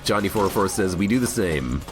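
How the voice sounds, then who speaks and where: A lower-voiced man with a cold, his speech swooping up and down as he rambles through casual stream commentary with self-mocking humor. His voice sounds a little worn. Game music plays loudly beneath him the whole time. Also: expressive, a little fast. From United States. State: has a cold, voice a bit worn. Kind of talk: casual live gameplay commentary. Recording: game music loud under voice.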